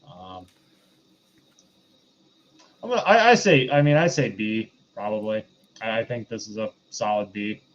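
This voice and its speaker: A man co-hosting a casual review chat, talking in a measured, hedging way on a slightly dull microphone. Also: on the low side, lively intonation. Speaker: podcast co-host.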